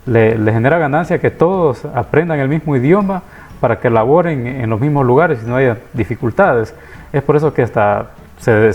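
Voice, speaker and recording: A man's voice: medium pitch, a little mellow, slightly rough, muffled recording. A man from Honduras giving a reflective spoken course presentation, hesitant, with many restarts and fillers.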